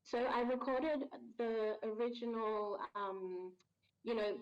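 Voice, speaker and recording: A woman's voice: fairly high pitch, takes it slowly. Chatty woman on a muffled call. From Australia. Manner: warm, chatty, laughs easily, many ums. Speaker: guest voice actor. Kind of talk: casual interview chat.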